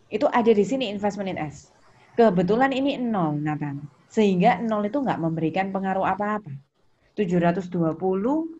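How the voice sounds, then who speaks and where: A woman's voice: mid-range voice, a little mellow. An Indonesian woman teaching an accounting lesson, questioning students, repeating key points and prompting them. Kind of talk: interactive online lesson, questioning students by name. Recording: muffled lo-fi audio with background noise.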